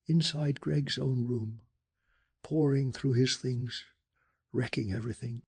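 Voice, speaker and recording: Soft-spoken, gravelly male ASMR storyteller. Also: on the high side. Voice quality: breathy, gravelly. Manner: hushed, calming, dramatic storytelling.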